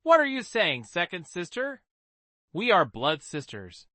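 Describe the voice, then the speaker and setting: A man reading a story and voicing a petulant, scheming young noble girl who shouts angrily and then turns falsely sweet. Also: wildly swooping pitch, unhurried, dry recording, heavily acted. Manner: shrill angry outbursts.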